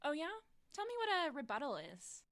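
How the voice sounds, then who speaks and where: A woman's voice: high voice, huge pitch swings, fairly quick, a bit bright, exaggerated voice. An actress playing an exasperated, sardonic teenage schoolgirl in an audio drama. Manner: dry, sardonic.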